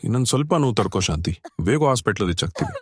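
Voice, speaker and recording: A man's voice: mid-range voice, animated, racing, slight background noise, natural voice. A man voicing an anxious, considerate middle-aged husband in a cartoon story, pleading and fatherly. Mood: worried. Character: anxious, considerate older husband seeking help. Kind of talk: cartoon short story dialogue.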